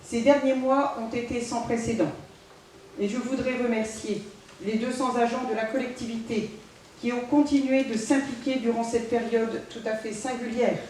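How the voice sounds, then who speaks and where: Very echoey hall, faint background noise, woman, local politician, formal read speech, measured delivery. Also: on the high side, steady tone, a little mellow, recorded from a distance. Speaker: local politician, intercommunal council president. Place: large village hall, indoors.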